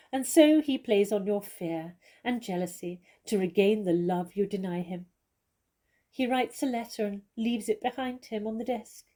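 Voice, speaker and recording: Famous stage actress played by a woman, witty and self-assured, gently mocking, mellow tone, theatrical comedy delivery. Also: medium pitch. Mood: wry, knowing. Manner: arch, condescending, theatrical.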